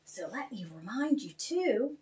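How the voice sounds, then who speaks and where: Female children's read-aloud host, animated and expressive, unhurried, swooping intonation. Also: a little high, slight background noise. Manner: animated, expressive, spells out names slowly. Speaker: author reading own children's book aloud. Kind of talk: storytelling read-aloud, chatty asides to young listeners.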